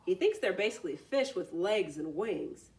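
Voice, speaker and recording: A woman storyteller reads aloud for children with a very lively, swinging intonation. She mixes narration with simple explanatory asides. Her voice is a little distant from the mic. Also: lowish voice, moderate pace.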